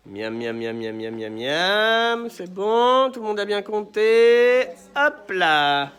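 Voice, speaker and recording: A man's voice: high voice, big pitch swings, takes it slowly, fairly bright tone. Man as playful teacher, stuffy-nosed. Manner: playful, coaxing, encouraging with children. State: stuffy nose from a cold. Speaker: kindergarten teacher. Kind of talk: playful classroom lesson with young children. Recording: echoey room, voice a bit far from mic. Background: children talking nearby.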